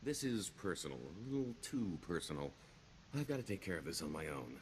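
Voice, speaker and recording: A roguish con man from a video game. His deep voice moves freely up and down, wry and theatrical, hiding a wistful streak behind glib bravado. Clear background ambience sits behind him. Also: very animated, brisk, slight background noise. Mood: wistful, resigned. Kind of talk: video game character dialogue.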